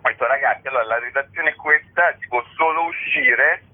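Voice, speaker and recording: A man's voice: medium pitch, a little fast. A man being interviewed as a guest, explaining his work in a chatty way with many filler words. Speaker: interview guest, satirical writer. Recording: narrow tinny phone line on radio.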